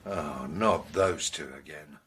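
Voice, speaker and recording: A man's voice: a bass voice, slow, a bit bright, heavily acted. A man playing a blunt, grumbling but kindly British sidekick in a radio drama, with noise in the background.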